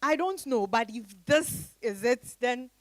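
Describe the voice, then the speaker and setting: A woman's voice: fairly high pitch, lively intonation, measured pace, dry recording. A woman playing an emotional, frustrated married woman in a dramatized reading, speaking expressively and emphatically. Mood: angry, fed up. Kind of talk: dramatized stage-style reading.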